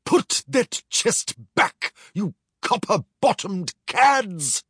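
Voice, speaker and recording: Man putting on an indignant, shouting storybook ship commander. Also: high voice, sing-song, drawn-out words, slightly rough, dry recording, studio quality, pitched above the performer's own voice, exaggerated voice.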